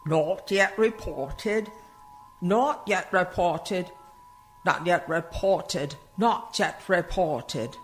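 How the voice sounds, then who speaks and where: A solemn announcer delivers telegrams in a radio play, voiced by a male actor. The pace is slow and the voice a bit high for a man, over clear music. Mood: ominous.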